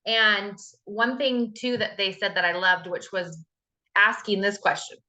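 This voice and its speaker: A woman's voice: medium pitch, steady tone, unhurried, bright, forceful tone. A woman team leader giving an earnest, encouraging talk to her team on a call, with frequent fillers. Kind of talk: motivational talk on a video call.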